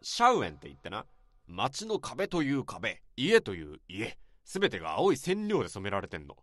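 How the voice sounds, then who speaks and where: An actor playing a blunt, rough-talking senior male office worker in a radio drama. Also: medium pitch, wildly swooping pitch, moderate pace, a bit bright, dry recording, clean studio sound. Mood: grumbling. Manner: blunt, gruff casual speech.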